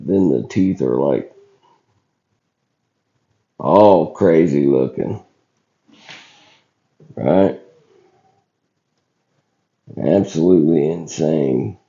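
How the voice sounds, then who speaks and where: Deep, slow, gravelly hoarse man. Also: mellow. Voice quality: rough, scratchy voice. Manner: folksy, halting, colloquial. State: hoarse, recovering from illness. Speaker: video channel host. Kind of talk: casual footage commentary. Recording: dull lo-fi recording.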